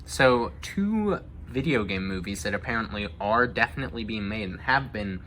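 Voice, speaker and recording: A man's voice: on the low side, lively intonation, moderate pace, sharp tone, slight background noise. A young man chatting casually and giving his opinions, thinking aloud as he goes. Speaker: discussion host. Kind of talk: casual chat about video game movies.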